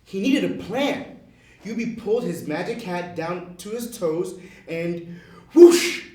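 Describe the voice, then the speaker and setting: Male storybook narrator, very high register, slow pace, echoey and noisy recording. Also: expressive, mellow. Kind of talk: scripted children's story reading. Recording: noisy, roomy, distant mic.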